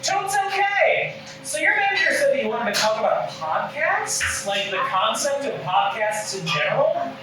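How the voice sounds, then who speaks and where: A man's voice: extremely high pitch, sing-song, moderate pace, loud music behind the voice, voice pushed up. A man voicing an annoying tabloid reporter, perky, sing-song and falsely polite, at a table read in a roomy space. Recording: echoey room, distant mic, noisy.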